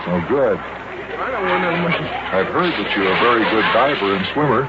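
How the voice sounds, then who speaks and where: An actor playing a kindly, sensible guardian in an old-time radio serial, mild and gently chiding. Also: male voice, medium pitch, big pitch swings, a little slow, over a noisy crowd. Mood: encouraging. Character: kindly, sensible grown man, patient guardian. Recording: muffled, slightly noisy old radio.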